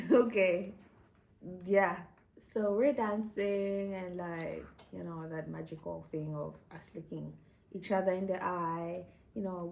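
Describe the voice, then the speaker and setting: A woman's voice: fairly low pitch, darkish tone. A young woman from Kenya, telling a personal story to camera in a chatty way, often laughing and saying "you know". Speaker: vlogger.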